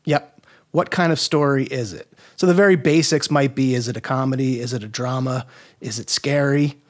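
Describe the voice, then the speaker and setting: Male interview guest, average pitch, agreeable explainer who teaches through rhetorical questions. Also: moderate pace. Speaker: guest expert, audio drama maker. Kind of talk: casual explanatory interview talk.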